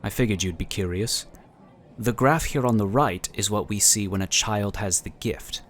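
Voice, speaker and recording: A man acts out a calm inquisitor of a psionic order for a sci-fi audio drama. He has a fairly low voice and speaks formally and courteously, laying things out with measured care. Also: close to an everyday voice. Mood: explaining.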